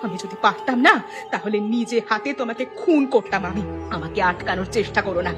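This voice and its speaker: A woman's voice: medium pitch, animated, fast, over music, natural voice. A woman playing an aggrieved village housewife in a cartoon drama, scolding emphatically and repeating words for stress. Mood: angry, hurt. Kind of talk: dramatic Bengali cartoon dialogue dubbing.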